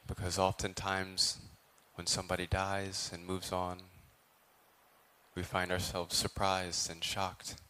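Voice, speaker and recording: A man with a deep voice leads a guided meditation. His intonation stays very even, with little rise or fall. He speaks as a teacher, in measured phrases separated by long pauses. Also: a little hiss or hum, poor audio. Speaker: meditation teacher, Buddhist monk.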